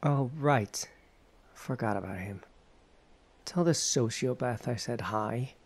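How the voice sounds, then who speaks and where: A man's voice: medium pitch, slow, vocal fry, slightly rough, heavily acted. A man playing an aloof, dismissive rebel in a sci-fi audio drama, blunt and nonchalant. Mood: dry, blunt. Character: aloof, dismissive rebel comrade named Mark.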